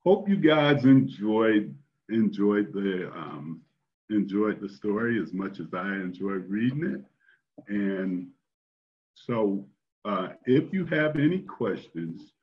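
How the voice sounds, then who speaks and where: An older man hosting a story time, speaking in a deliberate, measured way as he reads aloud and chats with listeners. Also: lowish voice, animated. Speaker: storyteller and host. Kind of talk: expressive read-aloud storytelling, then casual chat. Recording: dull, lo-fi online call audio.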